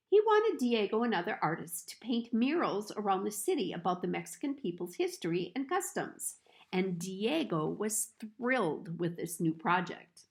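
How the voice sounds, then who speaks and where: A woman reading a children's picture book aloud in a storytelling style, with deliberate, expressive phrasing. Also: medium pitch.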